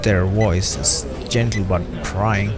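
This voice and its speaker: A man's voice: fairly low pitch, fairly even intonation, slow, over loud music. A man reading a scripted first-person horror story as narrator, with a brooding, dramatic delivery.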